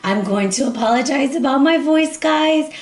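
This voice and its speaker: Hoarse chatty woman reading tarot. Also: high-pitched, lively intonation, moderate pace, echoey room. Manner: warm, chatty, affectionate with viewers. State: hoarse, losing her voice. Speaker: tarot reader. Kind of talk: casual monthly tarot reading.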